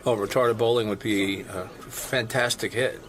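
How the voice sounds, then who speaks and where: A man's voice: on the low side, steady tone, moderate pace, noisy background. A man being interviewed casually, rambling eagerly and repeating himself as he answers.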